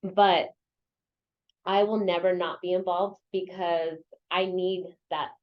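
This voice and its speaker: A woman's voice: fairly low pitch, level tone, takes it slowly, lo-fi sound. A woman from El Paso, an agent who leads a local association, answering interview questions in an earnest way that is full of "you know" fillers. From El Paso, Texas. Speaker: real estate agent and local association president. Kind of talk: interview guest, casual conversation. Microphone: video or phone call.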